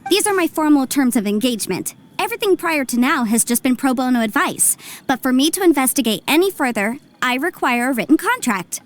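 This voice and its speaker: A woman's voice: high-pitched, sing-song, a little fast. A woman playing a shrewd, confident young legal adviser in an anime-style video game, articulate, explanatory and businesslike. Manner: articulate, explanatory, self-assured. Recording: soft music behind the voice. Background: game music.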